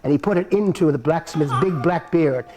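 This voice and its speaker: Man, storyteller, live puppet show, playful, animated, chuckling asides, slightly high voice. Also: moderate pace, over music. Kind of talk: live folk-tale storytelling. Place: live performance before an audience.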